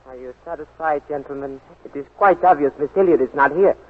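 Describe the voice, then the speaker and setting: An actor playing a fussy, courteous Japanese apartment manager, hesitant and formally polite, in a 1940s radio drama. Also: male voice, a little high, a little fast, close to an everyday voice. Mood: polite unease. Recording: tinny 1940s radio recording.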